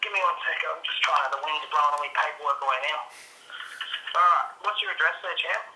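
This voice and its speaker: A man with an Australian accent handles a phone call in a matey, practical way. He speaks quickly in a slightly high, very bright voice, over an echoey line. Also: slight background noise. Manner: matey, matter-of-fact. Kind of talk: casual phone service talk.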